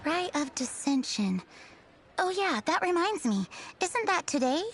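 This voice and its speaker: A woman's voice: high voice, big pitch swings, a little slow, fairly bright tone, a little hiss or hum, full character voice. A woman playing a proud, devout townswoman in a fantasy video game, speaking with assured, lecturing manner. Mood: informative. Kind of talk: scripted video game dialogue.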